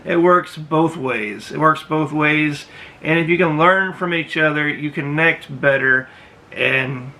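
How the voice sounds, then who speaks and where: Man, 30s, slightly high voice, raspy edge, earnest commentary, repeats himself. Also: sharp tone, rough recording. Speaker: reaction video host. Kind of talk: casual commentary. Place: small indoor room.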